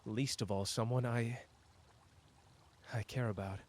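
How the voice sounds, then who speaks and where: A man acts out a powerful male sorcerer with a cocky surface and a wounded core. He speaks at a middling male pitch in an intimate audio drama, casual and conversational. His teasing delivery is aimed straight at one listener, with pauses between thoughts. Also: fairly even intonation, darkish tone, a little hiss or hum, close to an everyday voice. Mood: tender, subdued.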